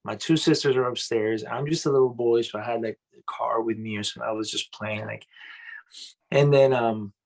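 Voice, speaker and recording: A man from Colombia with a gravelly texture in a middle-pitched voice. He tells stories from his own life in a loose, casual way, often tossing in "man" and "bro.". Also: brisk. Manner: loose and animated, says "man" and "bro" a lot. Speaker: pastor and music minister, guest. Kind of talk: casual interview storytelling.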